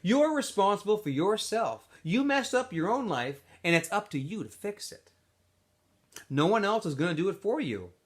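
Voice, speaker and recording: Man voicing a preachy, high-pitched male camp counselor. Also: big pitch swings, normal speed. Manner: emphatic, preachy, pointed. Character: Mister Pedanski, preachy male camp counselor lecturing boys. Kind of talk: storybook character voice.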